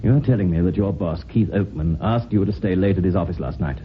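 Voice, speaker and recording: An actor playing a shrewd, businesslike police inspector with a brisk, probing way of questioning, in an old-time radio drama. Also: male voice, natural voice. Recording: rumbly, noisy old narrowband radio recording.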